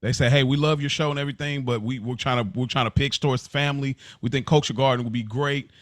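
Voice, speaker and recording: A man's voice: medium pitch, steady tone, fast, no room sound, clean studio sound. A man hosting a casual podcast chat, inquisitive, talking his way through hypothetical questions for his guests. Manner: inquisitive, poses hypothetical scenarios. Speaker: podcast host.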